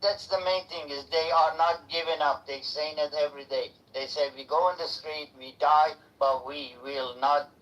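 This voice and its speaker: A man's voice: fairly high pitch, fairly even intonation, normal speed, hardly any pauses, a bit bright, poor audio. A man from Iran with an Iranian accent, Farsi his first language, arguing earnestly in broken English on a political call-in discussion.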